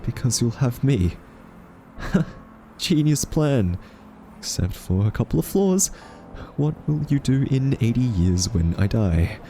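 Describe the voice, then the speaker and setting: A man playing an earnest, quirky scientist in a roleplay audio drama, soft-spoken, hesitant and argumentative as a thoughtful captive. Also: mid-range voice, very animated, a little slow, slight background noise. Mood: wry, wary.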